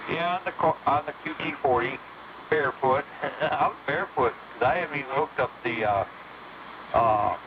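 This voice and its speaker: A phone-thin, noisy CB radio transmission carries a man with a mid-pitched voice. He chats casually with fellow operators in a folksy, jargon-filled way. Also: moderate pace, muffled recording. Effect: heard over CB sideband radio. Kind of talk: casual on-air radio chat.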